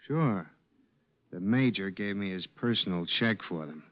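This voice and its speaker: An actor playing a plain-spoken, anxious husband and brother, folksy and blunt, in 1940s radio style. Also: male voice, sits low, lively intonation, a little slow. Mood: worried, downcast. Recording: muffled, noisy old-time radio recording.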